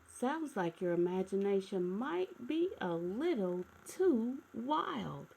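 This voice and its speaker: A woman voicing amused, doubting grown-up relatives from a storybook in a patronizing, measured way. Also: mid-range voice, very animated, vocal fry, darkish tone, slight background noise, exaggerated voice.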